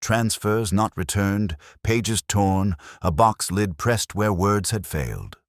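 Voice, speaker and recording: A man voicing a dry, unhurried police inspector in a detective story, speaking in measured, gently ironic aphorisms. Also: fairly low pitch, lively intonation, dry recording, pitched above the performer's own voice. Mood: measured, explanatory.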